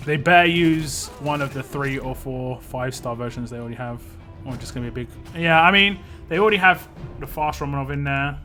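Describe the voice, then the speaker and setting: A man's voice: medium pitch, a little fast, few pauses, bright, forceful tone. A man chatting casually on a live stream while he plays a game, rambling and answering chat. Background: game music.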